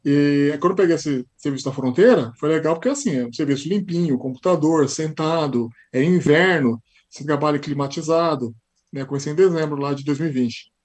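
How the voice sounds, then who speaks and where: A man's voice: on the high side, a little fast, darkish tone. A Brazilian man with a São Paulo accent, a podcast guest who tells stories in casual chat and acts out the dialogue he quotes. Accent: São Paulo Portuguese.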